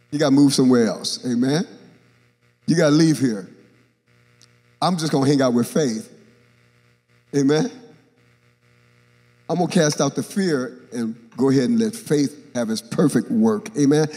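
An older man, a bishop, preaching a sermon on a church stage into a handheld mic, acting out Bible characters and joking with the congregation. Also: mid-range voice. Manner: animated, acts out Bible characters, jokes with the congregation. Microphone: handheld microphone. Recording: long reverb of a large church hall.

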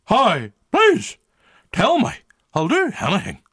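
Man playing an excitable film director, wildly swinging pitch. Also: slow, slightly rough, exaggerated voice. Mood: pleading, alarmed. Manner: excitable, exclamatory, swearing. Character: excitable, sentimental male film director, blue then joyful. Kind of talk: comic radio drama acting.

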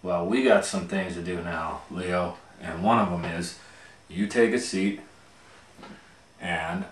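A man in his forties reading a children's story aloud in a casual, informal, unhurried way. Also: low-pitched, steady tone, reverberant, a little hiss or hum. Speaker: storytime host and reader. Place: small plain room indoors.

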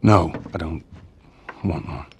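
An actor playing a gruff, weary middle-aged smuggler in a video game, terse and sardonic. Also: male voice, rumbling low, slow pace, darkish tone, a little hiss or hum, heavily acted. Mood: irritated. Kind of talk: naturalistic video game dialogue.

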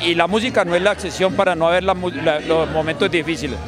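High-voiced Antioquian man. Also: steady tone, fast. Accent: Colombian Spanish, Antioquia. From Antioquia, Colombia. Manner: earnest, chatty, with frequent restarts. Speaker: singer and songwriter. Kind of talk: casual interview chat. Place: outdoors at a busy urban gathering. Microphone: handheld mic held by interviewer. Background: crowd chatter and loud music.

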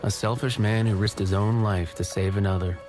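An actor playing a pilot narrating his own war story in a measured, reflective way for a video game. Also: fairly low pitch, fairly even intonation, lightly acted. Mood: reflective, wry. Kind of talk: scripted cinematic game narration. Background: loud orchestral music.

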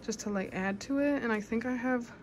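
A woman's voice: a little high, brisk, background noise. A young adult woman chatting casually as a vlogger in a bedroom at home. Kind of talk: casual vlog chat.

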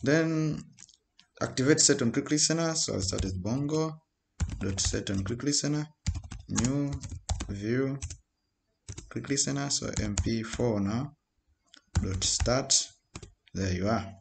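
A man giving an informal, halting screen-recorded programming tutorial as he types. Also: medium pitch, vocal fry. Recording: noisy home recording with keyboard clicks. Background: keyboard typing and mouse clicks.

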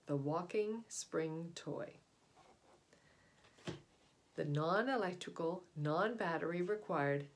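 A middle-aged American woman reads aloud in a somewhat low voice. She presents like a storyteller for children, turning often to the listener with small asides and questions. It is recorded indoors at home, a short distance from the device's microphone. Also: a little hiss or hum.

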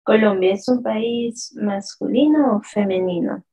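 Female teacher, mellow and husky, slow deliberate delivery for a live class drill. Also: mid-range voice, poor audio. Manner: slow, drawn-out pronunciation drills; asks the chat questions and praises answers. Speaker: French teacher. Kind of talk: live online lesson.

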